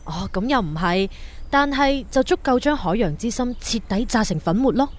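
An actress playing a curious, expressive young woman in a Cantonese sci-fi radio drama, with a Hong Kong accent. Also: a little high, normal speed, close to an everyday voice. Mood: confiding.

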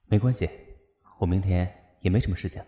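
An actor playing a gentle, considerate male teacher in a radio drama. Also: deep voice, brisk, husky. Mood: gentle, calm. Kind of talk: Chinese radio drama acting. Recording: music behind the voice.

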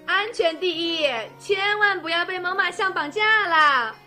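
A woman's voice: very high-pitched, measured pace, sharp tone, exaggerated voice. A woman acting a naive, cheeky teenage little sister in an audio drama, wheedling with earnest logic. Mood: bright. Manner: wheedling, earnest absurd reasoning. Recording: music playing behind.

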